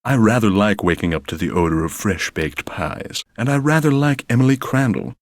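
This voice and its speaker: A man's voice: fairly low pitch, expressive, moderate pace, no room sound, close to an everyday voice. A man playing a haughty psychic headmaster. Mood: condescending. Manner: haughty, precise, uses long formal words. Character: pompous male psychic school headmaster, condescending. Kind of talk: video game voice acting. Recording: dry studio recording.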